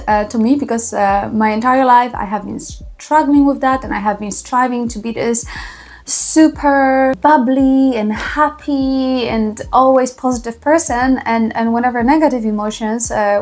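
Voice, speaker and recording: A woman with a Polish accent giving a reflective personal testimonial to camera, speaking earnestly with thoughtful, halting pauses. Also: fairly high pitch, a little mellow. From small town in Poland. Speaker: vlogger.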